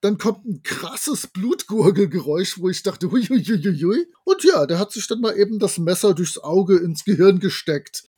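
High-pitched, husky man podcasting. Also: lively intonation, normal speed, few pauses, mellow. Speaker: podcast co-host. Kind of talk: casual discussion and retelling of an audio drama.

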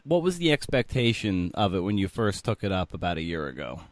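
A man's voice: low voice, sing-song, a little fast, dry recording. A man hosting a podcast in a casual, wry way, moving between scripted introduction and interview questions. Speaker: podcast host.

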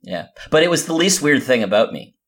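A man's voice: mid-range voice, big pitch swings, fairly quick, a bit bright, breathy edge. A man from the United States chatting and bantering casually as a podcast co-host, telling anecdotes in an informal way. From American, spent part of boyhood in England.

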